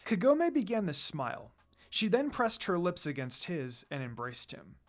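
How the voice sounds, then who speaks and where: Guy reading fanfic aloud, kinda high voice, really animated up and down delivery. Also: normal speed. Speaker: fan fiction narrator. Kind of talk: expressive story narration.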